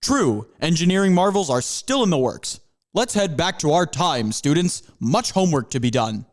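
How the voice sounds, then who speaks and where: A heroic panther scientist, played by a man, who lectures his students with proud good cheer. His voice rises and falls in a lively way and sits a little high. It fits an amateur comedy audio drama. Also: normal speed. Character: cheerful male panther mascot scientist, a hero.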